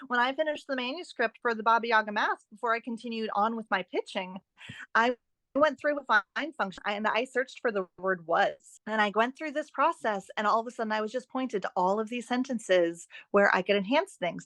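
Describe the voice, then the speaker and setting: A woman's voice: fairly high pitch, animated, fairly quick, fairly bright tone, no echo. A woman guest on an interview, an author and editing expert explaining writing tips conversationally, with an emphatic, instructive manner.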